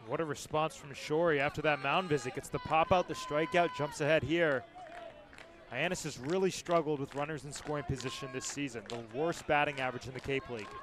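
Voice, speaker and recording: A man's voice: on the high side, a little fast. A man giving live analysis as the color commentator on a baseball radio broadcast.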